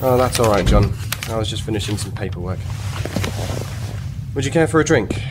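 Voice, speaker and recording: Sci-fi drama commander, played by a man: hospitable, courteous male voice beneath loud music. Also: fairly low pitch, animated, normal speed, close to an everyday voice. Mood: genial. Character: genial, hospitable male senior officer. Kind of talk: audio drama acting.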